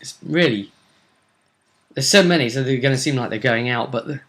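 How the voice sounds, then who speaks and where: A man's voice: medium pitch, fairly quick, fairly bright tone. A man, hosting a vidcast and giving a chatty, casual recap of a tabletop role-play tournament. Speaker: vidcast host.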